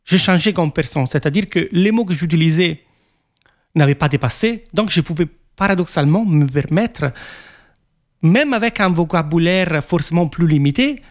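A man from Italy, whose first language is Italian, speaks in a voice a little higher than most men. He answers slowly and thoughtfully, pausing, repeating words and restarting his sentences. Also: expressive. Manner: thoughtful, many hesitations and restarts. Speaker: author. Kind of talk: reflective interview answers.

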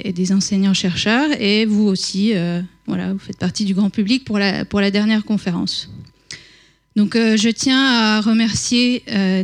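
A woman organizer introducing a public lecture, hesitant, with many fillers and restarts. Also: mid-range voice. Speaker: conference organizer introducing speaker.

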